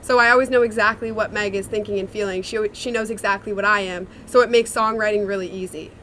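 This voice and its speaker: A woman's voice: mid-range voice, steady tone, fast, bright, forceful tone, a little hiss or hum, rough recording. A young woman, a singer-songwriter, answering interview questions in a chatty, reflective way with many fillers. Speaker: songwriter and singer in a sister duo.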